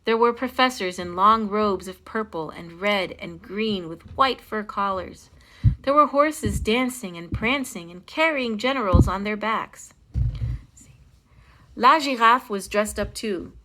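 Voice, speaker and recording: A woman reading a picture book aloud as a storytime host, in an expressive, dramatic style with stressed phrases. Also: medium pitch, measured pace, poor audio. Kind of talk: expressive children's read-aloud.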